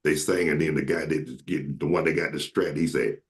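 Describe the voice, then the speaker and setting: A man's voice: steady tone, fairly quick. A man, hosting a casual chat, joking and telling stories. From Atlanta, Georgia. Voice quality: very deep voice. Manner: joking, slips into comic impressions. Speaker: show host.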